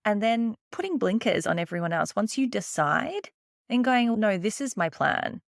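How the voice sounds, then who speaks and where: A woman's voice: medium pitch, expressive, moderate pace, gravelly, no echo, clean studio sound. An Australian woman guesting on a podcast, chatting casually and acting out her own thoughts in quotes. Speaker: podcast guest, agency owner. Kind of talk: casual interview chat.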